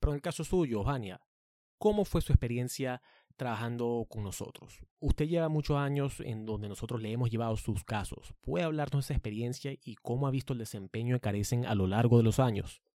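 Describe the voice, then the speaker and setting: Male radio host, formal scripted delivery, mellow dark voice, dry close sound. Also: mid-range voice, fairly quick, dry recording. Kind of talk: community radio hosting.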